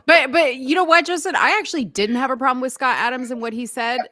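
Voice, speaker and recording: A woman co-hosting a talk show, speaking frankly and chattily in a casual debate, quick to laugh. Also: high voice, pushed and bright, dry recording. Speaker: show co-host.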